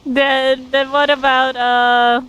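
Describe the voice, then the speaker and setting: A woman streaming a game, casually commenting on the story as she haltingly thinks aloud. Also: sits high, level tone, a bit bright, clean tone, dull audio.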